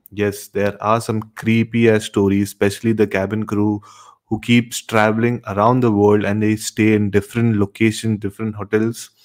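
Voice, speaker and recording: A man hosting a horror radio show, talking casually and directly to his audience. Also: on the low side. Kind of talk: casual host sign-off to audience.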